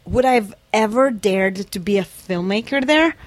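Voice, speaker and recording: Woman, Lithuanian accent, casual storyteller, reflective interview guest, average pitch, dry sound. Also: expressive, moderate pace, no echo. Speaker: interview guest, documentary filmmaker.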